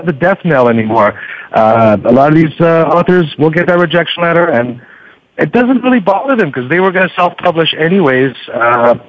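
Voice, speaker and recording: A man talking casually and somewhat haltingly as a guest in an interview. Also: a little high, no echo, highs cut off. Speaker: podcast guest, book reviewer.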